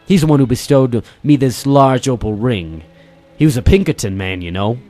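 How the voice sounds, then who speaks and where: A man's voice: medium pitch, lively intonation, normal speed, dark tone, close to an everyday voice. Man acting imposing old detective agency boss, avuncular. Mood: avuncular, proud. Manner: formal, avuncular, addresses listener as boy and son. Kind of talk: old-time radio detective drama acting. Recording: music behind the voice.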